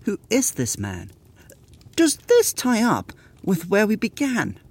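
A man's voice: high voice, huge pitch swings, slow pace, a little mellow, slightly rough, no echo. A man narrating a scripted comic tale in rhyme in a dramatic storytelling manner. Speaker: co-narrator and writer.

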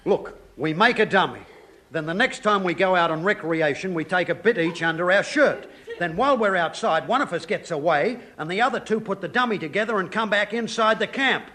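A naive, eager soldier in a vintage radio comedy. His voice is high and very bright, earnest throughout, over a faintly noisy old recording. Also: expressive, a little fast. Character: eager, naive young soldier with daft ideas. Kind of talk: 1950s radio sitcom acting.

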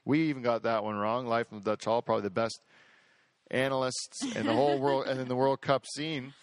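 A man hosting a podcast in casual chat, boastful and excitable, rambling with lots of restarts. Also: medium pitch, brisk, no room sound. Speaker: podcast host.

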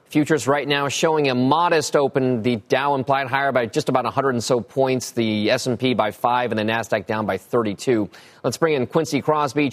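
A man with an American accent anchoring a live business news broadcast, presenting and putting questions to guests. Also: mid-range voice.

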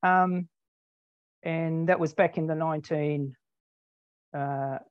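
A man's voice: a little high, fairly even intonation, takes it slowly, clean tone, no room sound. An older man from Tasmania with an Australian accent, telling his story as an interview guest in a rambling, self-deprecating way with many ums. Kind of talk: casual conversational storytelling. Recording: dull, dry lo-fi sound.

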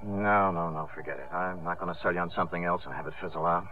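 An actor playing a brash, eager promoter with a punchy, persuasive manner in 1950s radio drama style. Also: male voice, sits low, steady tone, fast, slight background noise, natural voice. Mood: reluctant, coaxing. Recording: muffled, band-limited 1950s radio recording.

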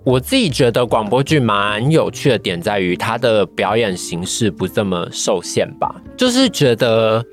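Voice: medium pitch, sing-song, normal speed, hardly any pauses. Young Taiwanese podcast guest, careful and reflective in answering, music in the background. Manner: thoughtful, careful, qualifying. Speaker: guest, voice-acting trainee. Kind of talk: casual podcast interview answers.

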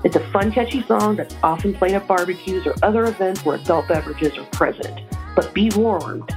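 Cheerful lower-voiced woman leaving a voicemail. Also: fairly quick, a little mellow, faint music behind. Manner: cheerful, enthusiastic.

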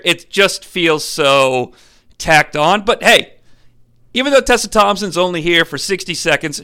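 A young man hosting a podcast, giving a sarcastic, animated comedic film review with some swearing. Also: sits high. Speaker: podcast host.

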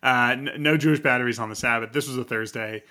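A man's voice: mid-range voice, fast talker, sharp tone. A man co-hosting a casual sports podcast, joking and rambling before interviewing a guest. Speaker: podcast co-host.

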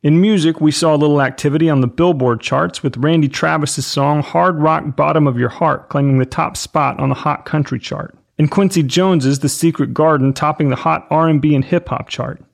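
A man's voice: a little high, fairly even intonation, normal speed, gravelly, few pauses. A man of about forty, an enthusiastic podcast host talking about pop culture. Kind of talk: scripted narration and casual chat about pop culture.

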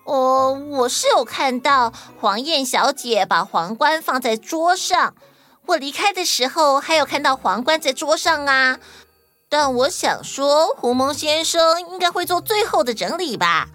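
Here is a lively lady kangaroo as a woman storyteller plays her. The voice is very high and bright, eager to explain, in the manner of a children's audio mystery. Soft music plays underneath. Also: measured pace. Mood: explaining, matter-of-fact.

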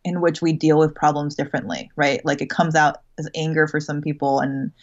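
A woman's voice: low voice, fairly quick. A woman guest who teaches creative writing, answering thoughtfully in casual talk with lots of "like" and "right?". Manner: thoughtful, uses many "like" and "right?" asides. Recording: muffled remote call audio.

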